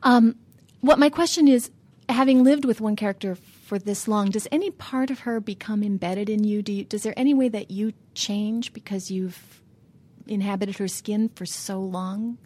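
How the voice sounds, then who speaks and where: A woman's voice: mid-range voice, moderate pace, dark tone. A woman interviewing a stage actor, asking thoughtful, probing questions. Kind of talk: arts interview.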